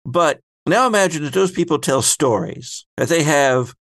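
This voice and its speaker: A man's voice: on the high side, lively intonation, normal speed, a bit bright, dry recording. A man playing a grandiose, cryptic female keeper of stories in a tabletop game, speaking in a theatrical, philosophical way. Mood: musing, ominous.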